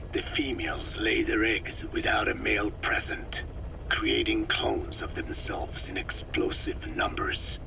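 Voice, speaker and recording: An actor playing an elderly, erudite male scientist in a video game, speaking in a breathy rasp with slow, deliberate, grave explanations. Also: on the low side, background noise. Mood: grave, explanatory. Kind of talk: video game audio drama acting.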